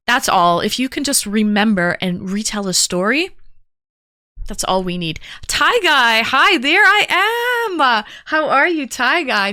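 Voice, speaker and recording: A woman's voice: on the high side, sharp tone, no echo, clean studio sound. A talkative woman streaming live, chatting casually and greeting viewers one by one in an upbeat way. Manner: talkative, calls herself a yapper.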